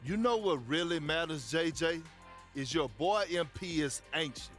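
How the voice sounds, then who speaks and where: A man's voice: on the high side, expressive, moderate pace, a bit bright, faint music behind. An actor playing a brash, mocking male sports TV pundit in a video game cutscene.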